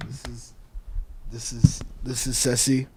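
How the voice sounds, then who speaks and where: A man's voice: medium pitch, flat delivery, slow pace, very noisy. A young man hosting a casual studio chat, joking and playful, laughing often, on a table mic in a small college studio. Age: young adult. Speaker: host. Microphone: table mic on a stand.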